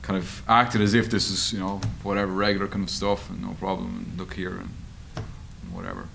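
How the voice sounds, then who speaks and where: Male interviewee, Irish, low creaky voice, conversational storyteller, light room noise. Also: brisk. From Ireland. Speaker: journalist and author being interviewed. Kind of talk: conversational interview answers at a public discussion. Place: meeting room at a table. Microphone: table microphone. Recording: steady background room noise.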